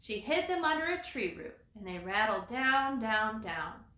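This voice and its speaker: A woman reading a children's storybook aloud as storyteller, in an expressive, dramatizing manner. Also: on the high side, a little slow. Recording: roomy echo.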